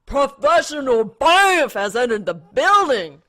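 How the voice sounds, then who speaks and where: A woman voicing a loud, brash, boastful young male gamer in a story, with a bombastic, whiny manner. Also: sits high, sing-song, takes it slowly, pitched above the performer's own voice, heavily acted. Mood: loudly boastful.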